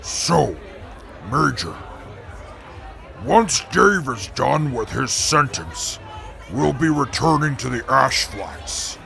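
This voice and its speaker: An actor playing a calm, formal male companion in an audio drama. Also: medium pitch, vocal fry, some chatter behind, full character voice. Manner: formal, measured.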